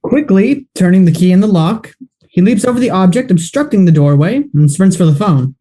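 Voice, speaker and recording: An actor playing the deliberate, dramatic narrator of an old-time style detective radio play. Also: male voice, high voice, animated, warm tone. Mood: brisk, dramatic. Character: melodramatic detective-serial narrator.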